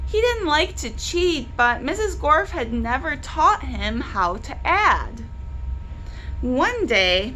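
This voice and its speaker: A woman hosting a library book club, reading a children's storybook aloud with deliberate, animated emphasis. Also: high voice, slight background noise, rough recording.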